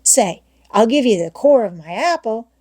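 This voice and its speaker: A woman's voice: fairly high pitch, lively intonation, moderate pace, mellow, slightly rough. A woman voicing an eager young boy in an audiobook, wheedling and insistent in country-boy phrasing. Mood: eager pleading. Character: eager, pleading young boy begging for a turn. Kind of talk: audiobook character voice.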